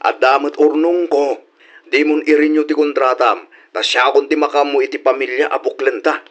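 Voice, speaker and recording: Man acting an earnest, higher-voiced Ilocano man. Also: fairly even intonation, moderate pace, lo-fi sound, close to an everyday voice. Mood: earnest, hopeful. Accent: Filipino, Ilocano. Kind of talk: Ilocano radio drama acting.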